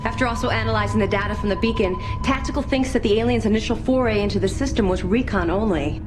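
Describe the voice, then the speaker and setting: Casting: actress as female military analyst, mid-pitched, cautious and technical, game cutscene with clear background score. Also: quick pace, over music, close to an everyday voice. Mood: analytical. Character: sharp female military tech and intelligence officer, analytical, cautious. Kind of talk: video game cutscene acting.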